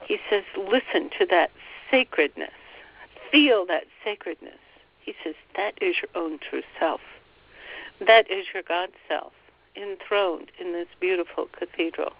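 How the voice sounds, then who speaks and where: A woman leading a slow guided meditation, softly passing on a spiritual guide's words phrase by phrase. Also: fairly low pitch, creaky. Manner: soft, relaying every phrase with "he says". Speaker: channeler relaying a spiritual guide. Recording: dull, dry lo-fi recording.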